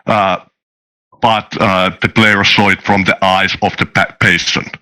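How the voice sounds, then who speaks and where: A man talking casually as a game designer being interviewed, halting and often restarting his words. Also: deep voice, little pitch movement, sharp tone. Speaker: tabletop game designer, guest.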